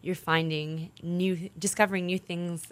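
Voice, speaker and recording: A woman's voice: fairly low pitch, little pitch movement, a little slow. A woman hosting an interview, asking questions in an attentive and encouraging way. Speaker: interviewer host.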